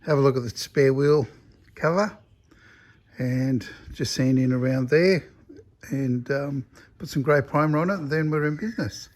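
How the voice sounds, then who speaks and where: An Australian man with a croaky voice talking casually about his restoration work, rambling and chatty with a self-deprecating humour. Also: mid-range voice, moderate pace, darkish tone. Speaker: car restoration vlogger. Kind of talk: casual workshop commentary. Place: home shed.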